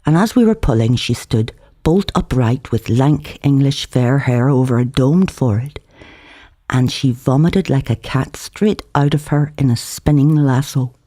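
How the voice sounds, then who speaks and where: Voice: medium pitch, lively intonation, measured pace, dark tone. A narrator reads a literary novel aloud in a colloquial, theatrical first-person style. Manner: theatrical, shifts voice for dialogue. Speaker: author reading own novel aloud.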